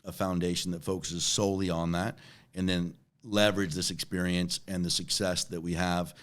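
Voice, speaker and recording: A man's voice: sits low, flat delivery, moderate pace, a bit bright. A man in his forties answering interview questions conversationally, repeating words and often checking in with a "right?". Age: 40s. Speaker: interview guest, company chief executive.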